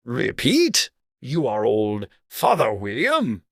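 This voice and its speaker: A man voicing a stern, contemptuous caterpillar in a dramatized audiobook, with curt, haughty, drawn-out phrasing. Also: medium pitch, wildly swooping pitch, gravelly, no room sound, clean studio sound, exaggerated voice. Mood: probing, stern. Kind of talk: dramatized audiobook character acting.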